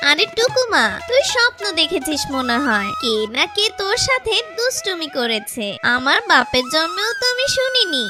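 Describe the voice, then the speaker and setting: A woman's voice: extremely high pitch, big pitch swings, moderate pace, hardly any pauses. A woman voicing a caring, affectionate village mother in a cartoon fairy tale, with a coaxing, motherly manner. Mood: chiding, doubtful. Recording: children's background music throughout. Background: loud children's music.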